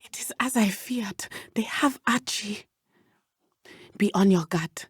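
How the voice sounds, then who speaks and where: A woman's voice: mid-range voice, takes it slowly, husky, no echo, clean studio sound. A woman playing an earnest, brave teenage schoolgirl witch in a video game, speaking in formal, precise phrases. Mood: hushed. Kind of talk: video game character dialogue.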